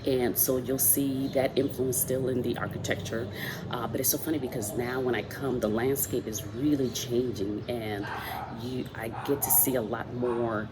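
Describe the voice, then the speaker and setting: A woman from the Dominican Republic with a strikingly low voice, chatting casually as host. She rambles a little and circles back with fillers. The recording is noisy, made outdoors with roosters and dogs around. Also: steady tone, normal speed. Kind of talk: casual talk to camera.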